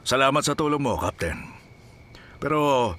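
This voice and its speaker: Man acting a forceful senior police officer. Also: medium pitch, lively intonation, moderate pace, lightly acted. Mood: businesslike, concerned. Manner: questioning, official. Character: senior police officer in charge, brisk and concerned. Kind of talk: action drama acting.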